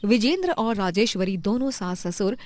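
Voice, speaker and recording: A woman's voice: mid-range voice, expressive, normal speed, a little mellow. A woman narrating a scripted moral story as a storyteller.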